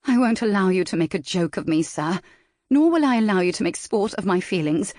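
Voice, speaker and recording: A woman's voice: mid-range voice, animated, brisk, a little mellow, dry recording, studio quality, natural voice. A woman voicing a proper, indignant young Regency lady who speaks primly. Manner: prim and indignant.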